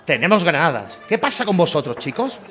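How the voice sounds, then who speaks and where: A man's voice: a little high, very animated, a little fast, a bit bright, voice pushed up. A man playing an angry, defiant police commander, challenging his men in a war audio drama. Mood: fired up.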